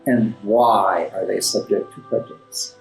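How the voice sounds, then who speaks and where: A man giving conversational interview answers as a guest playwright, articulate and formally worded, often halting and repeating words for emphasis. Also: lowish voice, vocal fry. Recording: roomy echo, music underneath. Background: classical background music.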